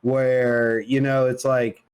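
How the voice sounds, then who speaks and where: Podcast dude, medium pitch, laid out like buddy talk, slangy and a bit sweary. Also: male voice, little pitch movement, slow pace, a little mellow, smooth. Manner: informal, profane, slangy. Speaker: podcast co-host. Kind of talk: casual chat about comics, movies and games.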